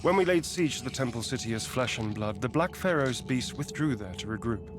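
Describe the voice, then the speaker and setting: An actor playing a grave, formal ancient guardian in a video game, speaking deliberately as the mediating brother. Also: male voice, medium pitch, lively intonation, music in the background, natural voice.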